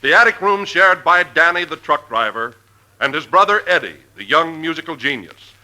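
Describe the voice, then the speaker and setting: A man's voice: fairly high pitch, very animated, moderate pace, sharp tone, heavily acted, rough recording. A man playing a grandiose melodrama narrator in a 1940s radio parody, solemn and declamatory. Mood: grand, portentous. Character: grandiose melodramatic narrator of a big-city drama. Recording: old 1940s radio broadcast.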